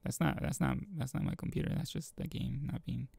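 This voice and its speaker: A man with a very gravelly, husky voice talks through a visual novel as he plays. He rambles and hesitates, thinking aloud, with lively swings in pitch. The delivery is casual, like a hobby let's play. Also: a little fast, no echo. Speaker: gaming video host.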